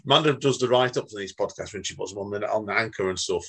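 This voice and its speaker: A man's voice: on the low side, vocal fry, a bit bright, slightly rough. A man hosting a casual podcast chat, joking and laughing as he moves things along. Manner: chatty, laughs often, quick asides. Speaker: podcast host.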